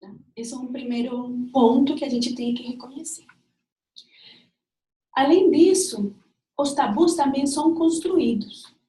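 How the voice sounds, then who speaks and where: A woman's voice: sits high, dark tone. Colombian woman lecturing in an echoey room. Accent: Colombian Spanish. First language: Spanish. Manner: reflective, personal, with pauses and restarts. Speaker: speaker giving a talk. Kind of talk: lecture-style talk. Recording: distant mic in an echoey room.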